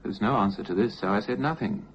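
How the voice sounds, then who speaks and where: A man narrating a first-person radio tale in a measured, literary way with dramatic pauses. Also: on the low side. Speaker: storyteller, narrator of a radio play. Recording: dull lo-fi audio, faint noise.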